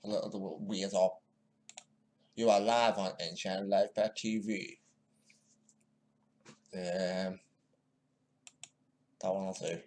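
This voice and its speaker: A man's voice: deep voice, steady tone, slow pace, pauses often, darkish tone. A man giving casual, mocking commentary while editing clips, often muttering to himself. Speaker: video maker commenting on news clips.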